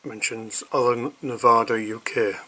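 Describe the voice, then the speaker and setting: A man giving casual, hesitant unboxing commentary in short pieces with long pauses. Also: mid-range voice, fairly even intonation, pushed and bright, low-quality recording. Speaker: hobbyist product reviewer.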